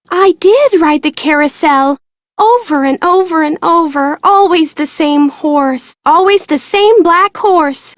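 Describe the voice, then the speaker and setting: A woman's voice: sits high, no echo, studio quality. An actress playing a glum, guarded young woman in a video game, plain-spoken and self-deprecating. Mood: shaken, remorseful. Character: withdrawn, glum young woman haunted by childhood loss.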